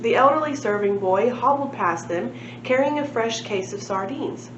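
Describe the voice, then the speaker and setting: A woman's voice: a little high, lively intonation, moderate pace. A woman reading a storybook aloud as a library reader. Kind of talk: storybook narration with casual asides. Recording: echoey room, dull sound, noticeable noise.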